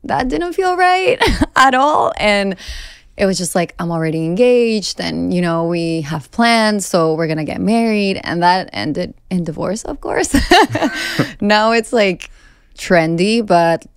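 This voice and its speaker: A woman's voice: medium pitch, lively intonation, slow, smooth, dry recording, studio quality. A woman in her thirties from Venezuela, a guest in a casual interview, talking candidly and laughing at herself often. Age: 30s.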